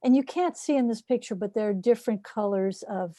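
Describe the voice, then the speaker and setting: Mellow-voiced woman, dry close sound. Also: medium pitch, brisk, dry recording. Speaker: group participant. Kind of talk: casual chat.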